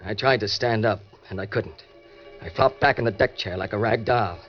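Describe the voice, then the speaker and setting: An actor playing a cynical, murderous schemer with a clipped, slangy, hard-boiled manner in an old-time radio crime drama. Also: male voice, on the low side, moderate pace, natural voice. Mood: cynical, tense. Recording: dull vintage radio recording, music.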